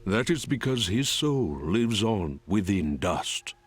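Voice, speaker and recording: Deep, husky old elder. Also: male voice, very animated, soft background music, exaggerated voice. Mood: solemn explaining. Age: older. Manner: grave, measured, theatrical. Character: solemn, wise old male reptilian village elder. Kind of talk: video game character acting.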